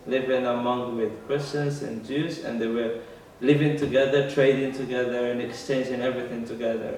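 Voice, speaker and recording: A man's voice: mid-range voice, little pitch movement, normal speed, a little mellow. Echoey Moroccan man, hesitant speech. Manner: hesitant, many fillers, searches for words. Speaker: interviewee. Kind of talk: oral history interview. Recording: very echoey room, distant mic, faint music.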